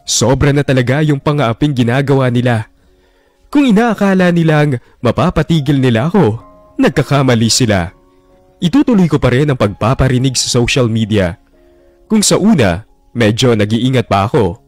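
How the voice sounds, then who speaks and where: A man's voice: mid-range voice, animated, normal speed, darkish tone, no room sound, faint music behind, lightly acted. An actor narrating in first person as a jealous young man, bitter and resentful, in a radio drama. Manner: bitter, resentful inner monologue. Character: jealous young man brooding over his ex.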